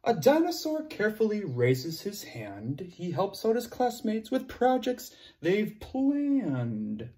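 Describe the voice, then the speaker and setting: A young man teaching young children, playful and animated, cheering them on and making sound effects while he reads a picture book aloud in a classroom. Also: high-pitched, slow, few pauses. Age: young adult. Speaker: preschool teacher. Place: classroom with word cards on a board.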